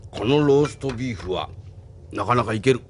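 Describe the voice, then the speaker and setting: A man's voice: medium pitch, animated, brisk, noisy background, lightly acted. An actor playing a confident, opinionated man who argues assertively in an audio drama. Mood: assertive, critical. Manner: assertive, argumentative. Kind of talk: Japanese audio drama acting.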